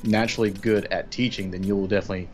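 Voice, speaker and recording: Brief: relaxed man, lowish voice, casual gaming chat, music underneath. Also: very fast. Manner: easygoing, chatty, filler-heavy. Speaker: co-op gaming guest. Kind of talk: casual chat over gameplay. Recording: music playing behind.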